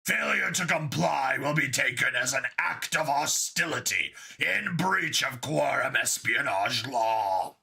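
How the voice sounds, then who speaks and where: Man voicing a gravelly, menacing giant bug commander. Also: expressive, sharp tone, exaggerated voice. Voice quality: gravelly, deep. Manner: slow, imperious, barked military orders.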